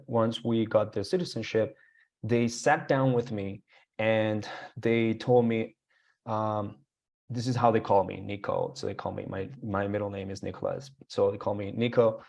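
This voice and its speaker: Colombian-accented man telling his story. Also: on the low side. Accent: Colombian Spanish. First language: Spanish. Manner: animated, rambling with many fillers and restarts. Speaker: guest. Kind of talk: casual storytelling interview.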